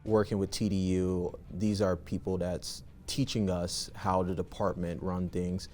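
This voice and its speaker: Very deep, flat-voiced man. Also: unhurried, faint music behind. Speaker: police academy recruit. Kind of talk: interview answers.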